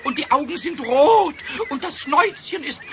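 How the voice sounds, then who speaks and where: Tiny cheeky kobold for a kids radio play, super high squeaky voice, swoops all over, stammery and excitable. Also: male voice, moderate pace. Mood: delighted, insistent. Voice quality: squeaky, piercing childlike voice. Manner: excited, stammering, repeating words. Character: cheeky little male kobold, childlike, excitable and fearful. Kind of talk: children's radio play acting. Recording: dull vintage radio recording.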